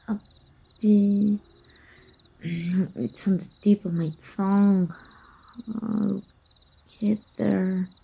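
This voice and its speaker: A woman playing a teasing, wistful and flirty female vampire hunter with a breathy, husky voice in an intimate ASMR roleplay. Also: mid-range voice, full character voice. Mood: coolly menacing. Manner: slow, teasing, softly taunting.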